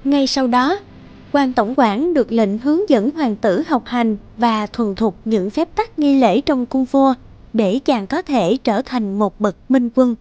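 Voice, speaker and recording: A woman's voice: a little high, lively intonation, measured pace, dark tone, highs cut off. A woman narrating a scripted fairy tale as a storyteller.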